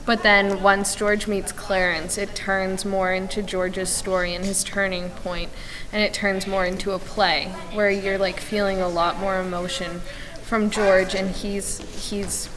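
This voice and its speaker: A woman's voice: mid-range voice, flat delivery, a little slow, background noise. A young female cast member explaining a stage production in an interview. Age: child or teenager. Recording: reverberant hall with voices behind.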